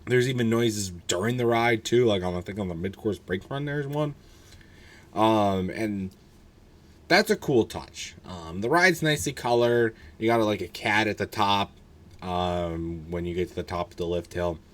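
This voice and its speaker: A hoarse young man casually reviewing a roller coaster, rambling with many fillers and blunt, candid asides. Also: lowish voice, normal speed, fairly bright tone.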